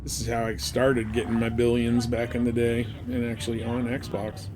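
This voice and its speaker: A man's voice: medium pitch, completely flat delivery, normal speed, background noise. A man giving casual, live commentary as he streams a video game.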